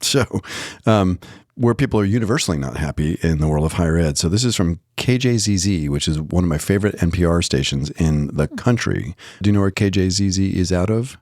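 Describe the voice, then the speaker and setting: A man's voice: sits low, lively intonation, a little fast, a little mellow, dry recording, clean studio sound. A man co-hosting a podcast, chatting casually about the news with jokey asides. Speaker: podcast co-host.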